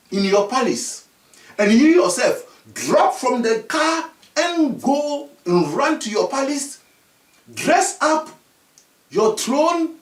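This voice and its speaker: Man doing a bossy river spirit: raised, loud, scolding; echoey, off-mic. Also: very high-pitched, distant mic, full character voice. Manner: stern, scolding, issuing orders. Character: commanding river spirit, a hidden youth posing.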